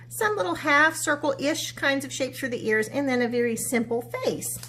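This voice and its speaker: A woman who teaches children's art, speaking expressively and encouragingly, as for a read-aloud or step-by-step lesson. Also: fairly high pitch. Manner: encouraging, expressive, asks checking questions.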